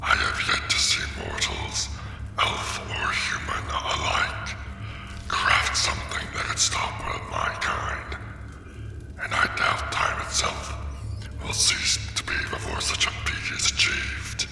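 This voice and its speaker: A man voicing a huge, ancient golden dragon in a fantasy ASMR roleplay, haughty and possessive, speaking in a gravelly, breathy growl with imperious condescension. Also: takes it slowly, lightly acted. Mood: haughty, disdainful. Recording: echoing cavern reverb, music and ambience behind. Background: dragon lair ambience.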